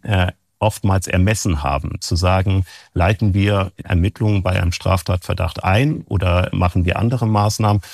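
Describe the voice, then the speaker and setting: Male expert interviewee, explanatory academic style, deep pitch, gravelly fry. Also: a little mellow, no room sound, studio quality.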